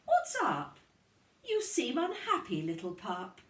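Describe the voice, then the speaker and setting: Storybook dog father played by a woman: tender, encouraging, secretive, slow, in an echoey room. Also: a little high, huge pitch swings, fairly bright tone, full character voice. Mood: concerned. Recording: roomy, distant microphone.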